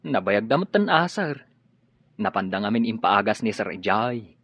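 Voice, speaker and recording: An actor playing a humble, respectful family driver in a radio drama. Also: male voice, lowish voice, very animated, normal speed, slightly rough, full character voice. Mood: deferential. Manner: respectful, simple. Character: deferential male family driver, humble, loyal. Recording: dull lo-fi radio sound.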